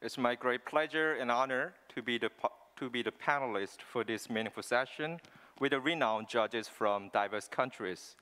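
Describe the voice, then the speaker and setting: A man's voice: medium pitch, moderate pace, pushed and bright. A man whose native language is Korean, giving polite, formal remarks on a conference panel. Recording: long reverb in a hall.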